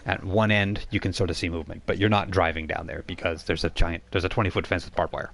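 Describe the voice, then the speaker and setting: Male game master, low register, improvised informal narration, halting explanatory delivery. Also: level tone, creaky, a bit bright. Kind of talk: improvised narration of a tabletop role-playing game.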